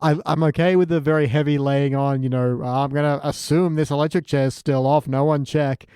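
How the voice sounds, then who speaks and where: A man chats casually as a podcast guest in a relaxed, mellow voice. His manner is wry, with dry joking asides. He sounds close and dry on the recording. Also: fairly high pitch, normal speed, clean tone, dry recording. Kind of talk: casual review chat.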